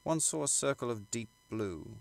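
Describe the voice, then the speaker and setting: A man reading literary fiction aloud as an audiobook narrator, in a measured, formal storytelling manner. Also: medium pitch, animated, vocal fry. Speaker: audiobook reader.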